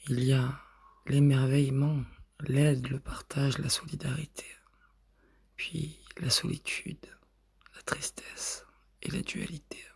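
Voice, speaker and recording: A man reciting spoken-word poetry in short, measured phrases, with a husky, breathy voice that often drops to a whisper. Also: mid-range voice, little pitch movement.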